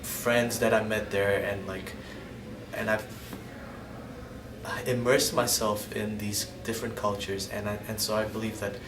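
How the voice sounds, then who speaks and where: Hesitant young man, low, roomy and distant. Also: little pitch movement, unhurried. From Indonesia. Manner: hesitant, searching for words, many restarts and "like". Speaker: interviewee. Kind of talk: personal storytelling interview. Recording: roomy, distant mic, ambient noise.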